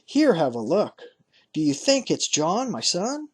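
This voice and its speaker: A man voicing a shrewd, excitable old fisherman father who cries out in a husky voice. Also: a little slow, exaggerated voice. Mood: excited. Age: older. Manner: shouting eagerly, cries out high.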